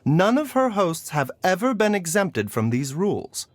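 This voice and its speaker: A man playing a calm, scholarly male healer in a video game, speaking with measured, formal courtesy. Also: high voice, no room sound. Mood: gentle, regretful.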